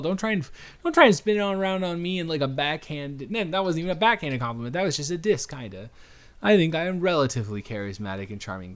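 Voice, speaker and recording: A man's voice: mid-range voice, lightly acted. Earnest, rambling, friendly young-love stranger played by a man. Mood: playful, teasing.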